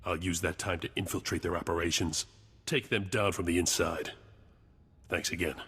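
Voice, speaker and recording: Sci-fi game spy: world-weary and clipped, low husky voice, forceful. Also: male voice, steady tone, normal speed, in a large hall, heavily acted. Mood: satisfied. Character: clipped, world-weary male intelligence agent in space. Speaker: intelligence agent. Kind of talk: video game dialogue.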